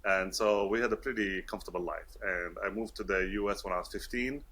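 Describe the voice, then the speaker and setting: A man in his fifties speaks with English learned at British schools, in a low and bright voice. He chats easily as an interview guest, laughing often and filling gaps with fillers and restarts. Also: a little fast. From Kuwait. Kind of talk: casual interview talk.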